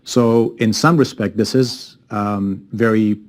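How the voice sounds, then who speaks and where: A man's voice: lowish voice, steady tone, slow. A man from Afghanistan, a company chief executive, giving emphatic interview answers about his work.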